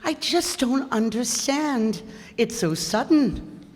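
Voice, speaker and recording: Slow, echoey actress as an older woman. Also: fairly high pitch, animated. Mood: sorrowful. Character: old Mrs Bentley, and grieving tender foster mother Anna. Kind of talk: radio drama acting. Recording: echoey hall, long reverb.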